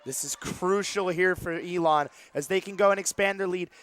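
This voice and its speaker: Male sports analyst, high voice, conversational live volleyball commentary from a gym. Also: moderate pace, fairly bright tone, clean tone. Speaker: color commentator. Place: courtside in a gymnasium.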